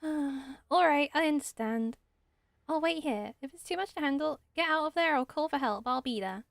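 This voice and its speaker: A woman's voice: high voice, normal speed, gravelly, dry recording. A woman voicing an earnest, dutiful young female forest ranger in a fantasy game, explaining things carefully. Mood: cautious, worried.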